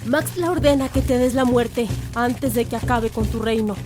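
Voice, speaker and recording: A woman's voice: on the high side, moderate pace, over music, natural voice. A woman playing an urgent, grave messenger in an ancient royal court, in an audio drama. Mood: grave warning. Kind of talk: dramatized historical audio story acting.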